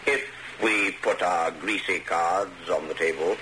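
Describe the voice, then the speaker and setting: An actor playing a scheming, bossy intelligence Major in a radio drama, with a clipped, slangy British delivery and dry sarcasm. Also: male voice, low voice, measured pace, pushed and bright. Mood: dry, irritated. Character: brusque, scheming male intelligence Major, bossy and dryly sardonic. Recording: noisy, hissy radio drama recording.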